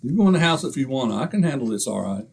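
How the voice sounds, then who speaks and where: An actor playing a boastful, wisecracking husband in a 1940s-style radio comedy. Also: male voice, medium pitch, sing-song, fast talker, gravelly, breathy edge, exaggerated voice. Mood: brash. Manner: brash, wisecracking. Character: boastful, blustering middle-aged husband.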